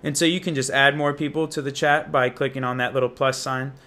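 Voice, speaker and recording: A man's voice: medium pitch, level tone, brisk, sharp tone. A young American man giving a casual, unscripted app walkthrough in an informal, slangy, enthusiastic manner. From Indiana, United States. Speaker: app reviewer and demonstrator.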